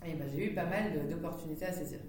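A woman in her thirties, a startup founder, telling her story as a podcast guest in a lively way with frequent laughs. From Netherlands. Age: 30s. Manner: animated, laughs often, talks fast in bursts. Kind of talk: casual interview storytelling. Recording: echoey room, distant from the mic, faint rumble.

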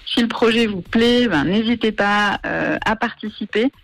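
A woman's voice: medium pitch, normal speed, creaky, dry recording, low-quality recording. A woman from Marseille, a guest on a radio show, talking about her project with many hesitations and fillers. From Marseille, France. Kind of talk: radio interview.

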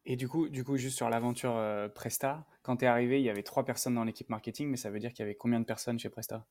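Dark-toned man hosting a podcast. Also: medium pitch, steady tone, fast talker, no room sound. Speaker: podcast host. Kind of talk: scripted intro, then interviewing.

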